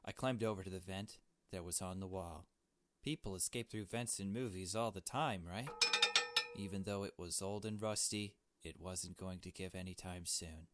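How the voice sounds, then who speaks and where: A man voicing a frightened, earnest kidnapped young adventurer in a visual novel, speaking in a halting, pleading way. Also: sits low, close to an everyday voice. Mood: hushed narration. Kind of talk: dramatic visual novel read-aloud.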